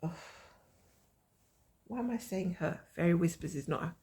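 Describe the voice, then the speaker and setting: Croaky woman chatting about stitching. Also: lowish voice, normal speed, mellow. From Scotland. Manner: rambling, chatty, self-mocking. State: croaky from a cold. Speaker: cross-stitch vlogger. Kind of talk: casual chat showing stitching progress.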